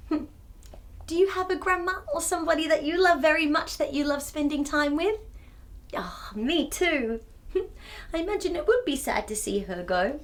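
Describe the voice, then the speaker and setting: Animated, high-voiced woman reading to children. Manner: animated, exclaiming, asks the young listeners questions. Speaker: children's storytime presenter. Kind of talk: expressive read-aloud storytelling for young children.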